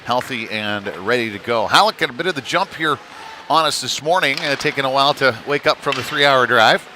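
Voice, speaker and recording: Guy calling a kids hockey game live, folksy and chatty with little pauses, normal pitch, noisy rink behind him. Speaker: play-by-play commentator. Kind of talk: live youth hockey commentary.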